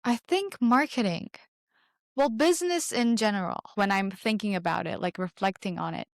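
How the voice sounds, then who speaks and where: A woman's voice: mid-range voice, no room sound, clean studio sound. Bubbly teenage woman from Turkey. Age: teens. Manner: bubbly, quick laughs, trailing pauses. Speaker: podcast producer and guest. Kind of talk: casual interview chat.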